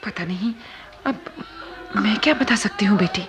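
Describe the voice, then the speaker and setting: A woman's voice: mid-range voice, moderate pace, over music, lightly acted. An actress playing an anxious, nagging middle-aged wife and mother in a radio play, sighing and fretful.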